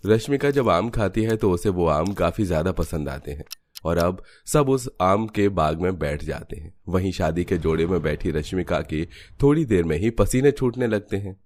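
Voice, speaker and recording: Very deep-voiced male story narrator. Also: lively intonation, normal speed, darkish tone. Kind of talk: scripted cartoon story narration.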